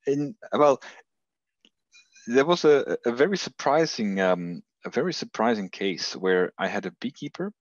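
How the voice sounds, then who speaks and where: A man's voice: medium pitch, lively intonation, a little slow, no room sound, muffled recording. A man from Belgium with a Belgian accent, presenting research over a video call, hesitant, with many fillers and restarts. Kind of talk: conference talk on a video call.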